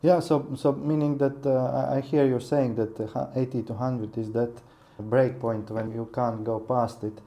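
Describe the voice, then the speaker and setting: A man's voice: medium pitch, little pitch movement, moderate pace, few pauses, dark tone. A man hosting a business podcast, asking thoughtful, hesitant questions with frequent restarts. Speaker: podcast host. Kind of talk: interview questions.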